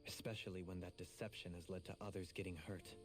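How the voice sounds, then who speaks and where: Casting: male actor as eloquent anime-game nobleman, composed and formal, lowish voice, prominent music underneath. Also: level tone, fast, lightly acted. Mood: grave, pondering. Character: composed, scholarly young nobleman pondering a mystery. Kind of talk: anime video game voice acting.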